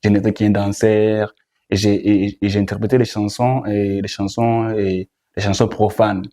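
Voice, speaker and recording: Young man, Congolese French accent, dark relaxed tone, repetitive phrasing, interview guest. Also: on the low side, normal speed. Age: young adult. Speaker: guest, gospel musician. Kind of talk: interview answers.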